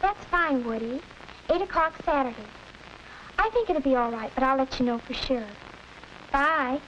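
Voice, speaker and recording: An actress playing a cheerful, sensible teenage big sister in a 1940s educational film. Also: female voice, sits high, moderate pace, fairly bright tone. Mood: pleased. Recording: muffled, noisy 1940s film soundtrack.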